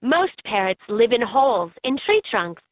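A woman's voice: a little high, big pitch swings, measured pace, dry recording. A woman voicing an upbeat guide in a children's educational animal game, in scripted narration with slightly dull game audio.

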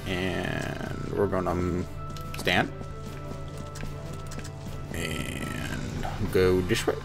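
A man's voice: low-pitched, little pitch movement, extremely slow, vocal fry. A man giving joking, sarcastic gameplay commentary as host on a headset. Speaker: let's play host. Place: small room indoors. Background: game music.

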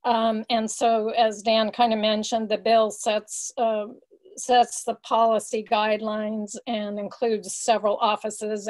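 An older woman giving an informal explanatory presentation over a video call, often halting as she searches for words. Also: fairly high pitch, darkish tone. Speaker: guest speaker, peace advocate. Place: small home room, indoors. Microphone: computer webcam on a video call.

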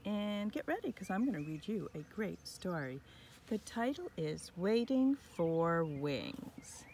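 Slow, expressive woman reading to children. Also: medium pitch. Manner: expressive, addressing young children, with whispered asides. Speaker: teacher reading a picture book aloud. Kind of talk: children's story read-aloud. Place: outdoors in a front yard under a tree. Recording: outdoor recording with birds and ambient noise.

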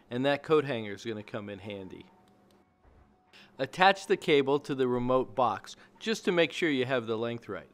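Male narrator, medium pitch, scripted how-to voiceover, plain step-by-step instruction. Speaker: narrator of a DIY install video.

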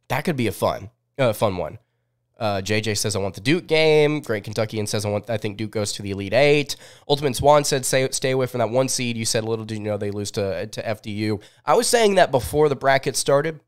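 A man in his twenties hosts a live sports recap show, speaking informally and often thinking aloud. His voice sits at a medium pitch and sounds sniffly from a cold. He is close to a podcast microphone in a small studio. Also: clean tone. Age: 20s. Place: small indoor home studio. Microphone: close desk podcast microphone.

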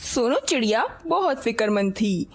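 Animated high-pitched woman storyteller. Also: moderate pace. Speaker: narrator. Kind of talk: scripted children's story narration.

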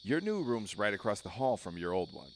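A man reading video game dialogue aloud as a brash, excitable young male cadet. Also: fairly low pitch, a little fast, slight background noise. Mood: excited, bragging.

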